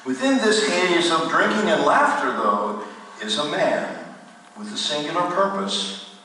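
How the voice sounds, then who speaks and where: An actor playing the ghost of a solemn, morbid storyteller, narrating a radio play in formal, grandiloquent phrases with deliberate dramatic pauses. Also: male voice, fairly high pitch, animated, sharp tone. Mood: brooding, dramatic. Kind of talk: theatrical radio play narration to a live listener. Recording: distant echoey hall, faint noise.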